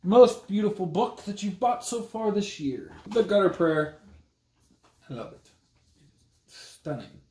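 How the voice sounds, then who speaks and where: A man in his thirties chats casually with very lively intonation and a teasing manner. The recording is roomy and echoey, like a camera set a little way off in a room at home. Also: sits high, measured pace, pauses often. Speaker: book vlogger co-host. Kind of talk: casual bantering chat. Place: small room at home, indoors. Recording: roomy echo from camera distance.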